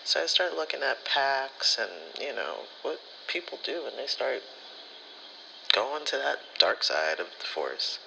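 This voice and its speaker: A man speaks with a very bright, crisp-edged voice that often drops into creaky fry. His pitch moves around in a lively way as he rambles through casual ASMR stories in slangy, profane language. There is faint noise behind him. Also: unhurried, low-quality recording. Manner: profane, slangy, rambling storyteller who acts out quoted dialogue. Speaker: spiritual content creator.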